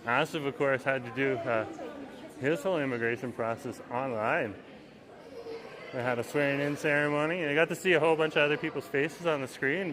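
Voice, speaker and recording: Chatty man streaming outdoors amid crowd noise. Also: mid-range voice. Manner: rambling, chatty, reads viewer comments aloud. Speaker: livestream vlogger. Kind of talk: casual walking-tour commentary. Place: outdoors at a busy waterfront festival. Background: festival crowd chatter.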